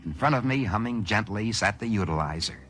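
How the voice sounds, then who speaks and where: Give this one an excitable regular guy who tells his own tale in an old sci-fi radio play. The voice is bright and very animated, swinging widely in pitch. His telling is theatrical and wry, with music underneath. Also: a little fast. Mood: musing, pleased. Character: excitable ordinary man narrating his sudden good fortune. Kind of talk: 1950s science fiction radio drama acting.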